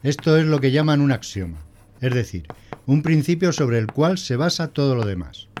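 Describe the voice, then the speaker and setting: A man's voice: medium pitch, lively intonation, moderate pace, darkish tone, slight background noise, lightly acted. A man playing a prim, pedantic male schoolteacher who lectures didactically in a scripted audio drama. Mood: pompous lecturing. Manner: didactic, pompous.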